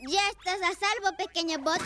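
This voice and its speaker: A performer voicing an excitable, playful young motorbike kid in a children's cartoon. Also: very high voice, moderate pace. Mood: excited. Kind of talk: animated children's cartoon dialogue. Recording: loud children's music behind.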